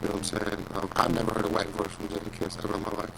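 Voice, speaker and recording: A man from New York with a raspy voice and a New York accent, a hip hop artist talking in a laid-back, confident way on a radio interview. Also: lowish voice, rapid-fire, noisy background. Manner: laid-back, confident, lots of "you know what I'm saying".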